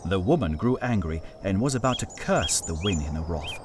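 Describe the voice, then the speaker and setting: A man narrating a scripted folktale as a storyteller, in a measured, solemn manner with deliberate phrasing. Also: low voice, animated, background noise. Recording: studio narration over music. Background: music.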